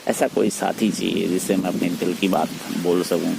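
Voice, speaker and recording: A man speaking in a private phone conversation, pleading and persuading in a halting, repetitive way. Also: low voice, fairly even intonation, creaky. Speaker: teacher. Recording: noisy phone call recording.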